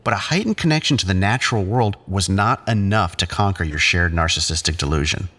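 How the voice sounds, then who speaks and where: Fields: low-voiced, condescending psychiatrist played by a man. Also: expressive, bright, forceful tone, exaggerated voice. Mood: clinical, measured. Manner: measured, clinical, patronising. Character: condescending, controlling male psychiatrist leading group therapy. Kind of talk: audio drama acting.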